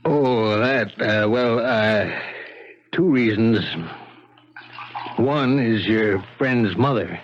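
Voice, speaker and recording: Slow, halting, bashful police lieutenant. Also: male voice, medium pitch. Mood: awkward. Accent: American. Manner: halting, stammering, hesitant. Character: shy, bashful male police lieutenant, awkwardly courting. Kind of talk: 1970s radio mystery drama acting. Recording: dull, noisy vintage radio broadcast.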